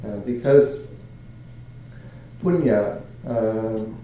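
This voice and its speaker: Older monk from Canada, low voice, halting delivery full of fillers, echoey noisy room. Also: male voice, mellow, muffled recording. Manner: halting, many fillers and restarts, chuckles. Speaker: Buddhist monk. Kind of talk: dharma talk.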